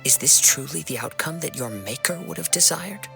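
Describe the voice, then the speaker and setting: Young samurai wanderer in a game, calm n principled, kinda formal, music behind him. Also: male voice, fairly high pitch, vocal fry. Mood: stern, reproachful. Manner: measured, earnest, composed, formal. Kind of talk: dramatic video game dialogue.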